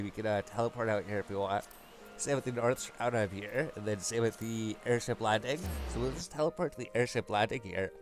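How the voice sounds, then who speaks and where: Low-voiced man giving rambling game commentary. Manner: rambling, thinking aloud. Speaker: gaming video host. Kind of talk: casual game walkthrough commentary. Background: game music.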